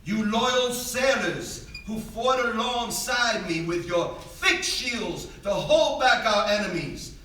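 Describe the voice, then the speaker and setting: A man's voice: high voice, animated, slow, few pauses, pushed and bright, full character voice. A man playing a proud, doomed Greek warrior and father, declaiming with command in a staged reading of a tragedy. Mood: proud, solemn. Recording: echoey theater, distant mic, faint noise.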